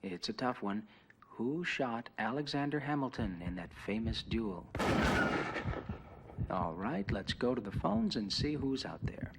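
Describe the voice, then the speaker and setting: An actor playing a polished radio quiz host in a television commercial, with theatrical announcer delivery. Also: male voice, medium pitch, sing-song, unhurried, gravelly, slight background noise. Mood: smooth, suspenseful. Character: smooth-talking classical radio host running phone quiz.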